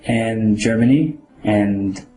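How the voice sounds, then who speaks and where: Slow, careful man in an echoey room. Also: fairly low pitch, over music. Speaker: applicant in a mock interview. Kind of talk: scripted role-play.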